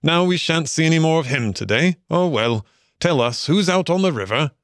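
A man voicing a friendly, easygoing water rat in an animal storybook, genial and gentlemanly in his talk. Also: on the high side, expressive, moderate pace, dry recording, studio quality, voice pushed up. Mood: wry, resigned. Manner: genial, conversational, old-fashioned English phrasing.

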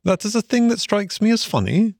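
Guy doing a wide-eyed storybook mole, male, high voice, polite and earnest, swoopy. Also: big pitch swings, brisk, darkish tone, dry recording, studio quality, heavily acted. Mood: curious. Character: timid, wonderstruck, eager male mole, naive and polite. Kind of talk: animal storybook character voice.

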